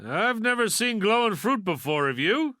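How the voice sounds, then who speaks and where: A man's voice: sits high, very animated, moderate pace, sharp tone, no echo, clean studio sound, exaggerated voice. A man voicing a wisecracking toy piggy bank in a video game, a brash know-it-all with a sardonic, folksy delivery. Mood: wry, casual. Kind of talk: video game character voice lines.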